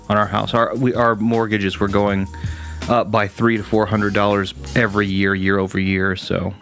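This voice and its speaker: A man's voice: on the low side, fairly bright tone. A man hosting a gaming stream, chatting casually with viewers in a rambling way, full of restarts and fillers.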